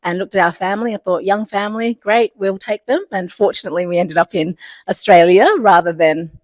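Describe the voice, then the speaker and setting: A woman's voice: medium pitch, fairly even intonation, fairly quick, no room sound. A woman in her forties, born in Vietnam, telling her personal story at a panel, laughing often and using many fillers. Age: 40s. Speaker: CEO of a community organisation.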